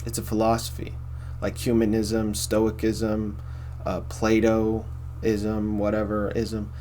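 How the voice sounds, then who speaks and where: A man with a baritone voice hosting a casual philosophy monologue, rambling and repeating himself with many fillers. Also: completely flat delivery, slow pace, a little mellow, a little hiss or hum. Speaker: host.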